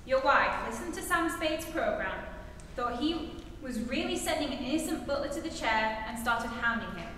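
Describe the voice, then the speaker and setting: A skeptical police lieutenant. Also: female voice, high voice, animated, moderate pace, pushed and bright. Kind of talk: 1940s radio comedy acting, live stage. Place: theatre stage. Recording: echoey theatre, far from mic, faint hiss.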